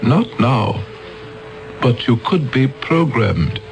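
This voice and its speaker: An actor playing a calm, cold male alien elder who speaks in a measured way in a radio drama. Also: mid-range voice, vocal fry, background noise. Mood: grave.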